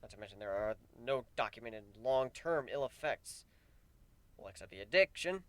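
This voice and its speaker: A man's voice: medium pitch, animated, moderate pace, a bit bright, no echo. A man voicing a weary, wry male pegasus who grows plants, in a dramatic fan fiction reading. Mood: matter-of-fact.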